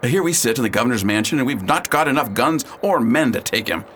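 Commandant for radio play, male actor: mid-pitched, lively intonation, dry, sardonic, skeptical. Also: quick pace, a little hiss or hum, close to an everyday voice. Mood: concerned. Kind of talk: radio drama acting.

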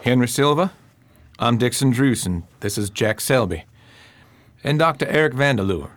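A man's voice: medium pitch, lively intonation, moderate pace, noisy background, close to an everyday voice. A man playing an earnest, determined, rather formal investigator in an amateur radio drama. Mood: probing.

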